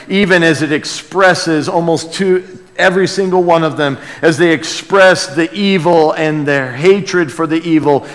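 American male pastor, slightly high-voiced, earnest, emphatic conversational sermon, long hall reverb. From United States. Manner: earnest, emphatic, dropping to hushed asides, rhetorical questions. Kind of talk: sermon, conversational Bible teaching.

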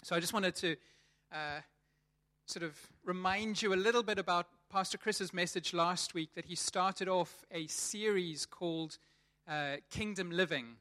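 A man's voice: high-pitched, a little slow, bright, forceful tone. A man in his thirties with a South African accent, giving a chatty sermon to his church and often repeating words as he restarts. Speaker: church leader preaching.

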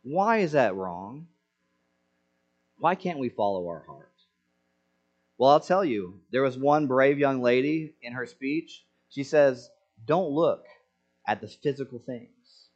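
Male pastor, mid-pitched, earnest sermon delivery with rhetorical questions, slightly muffled lo-fi recording. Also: animated, takes it slowly, pauses often. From Senegal.

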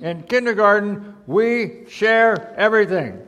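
A man's voice: high-pitched, lively intonation, unhurried, fairly bright tone, highs cut off, voice pushed up. A man voicing a scolding kindergarten teacher from a storybook, lecturing in an emphatic, stretched-out way. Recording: reverberant large hall.

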